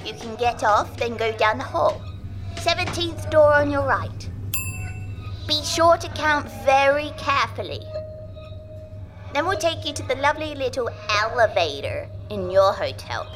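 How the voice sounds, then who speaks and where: A man's voice: extremely high pitch, very animated, a little slow, over music, voice pushed up, heavily acted. A man doing an eerie, solemn little girl. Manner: grave, precise, grown-up serious tone. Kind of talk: audiobook character voice.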